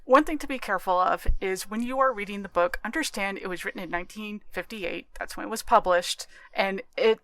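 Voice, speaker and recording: A woman's voice: mid-range voice, a little fast, few pauses, fairly bright tone, dry recording, low-quality recording. A woman co-hosting a podcast, debating books and films casually in an assertive, matter-of-fact way. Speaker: podcast co-host. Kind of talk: casual book and film discussion.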